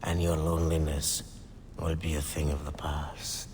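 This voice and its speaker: Villain role: domineering, menacing lord, exceptionally low pitch, reverberant room, faint noise, game cutscene. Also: male voice, level tone, a little slow, darkish tone. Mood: smug menace. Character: menacing, possessive tyrant nobleman villain. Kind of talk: video game cutscene acting.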